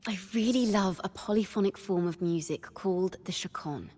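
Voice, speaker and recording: A woman voicing a young, horned wandering bard in a video game, a cheerful yet wistful poet who speaks in lyrical, expressive storytelling with a reverberant tail. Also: medium pitch, moderate pace, natural voice. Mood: reflective. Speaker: game character voice. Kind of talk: scripted character monologue.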